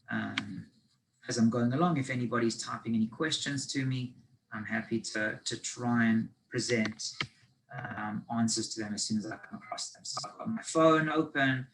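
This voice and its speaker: A man with a South African accent giving a live online presentation on business finance. He explains in a conversational way and fills pauses with ums and restarts. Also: mid-range voice, a bit bright, a little hiss or hum, rough recording.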